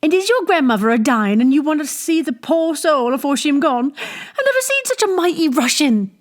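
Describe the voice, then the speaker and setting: A woman's voice: sits high, very animated, fairly quick, pitched above the performer's own voice. A woman voicing a cheerful, teasing young country barmaid in a storybook, with a rustic, saucy manner. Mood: laughing, teasing. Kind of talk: storybook character reading.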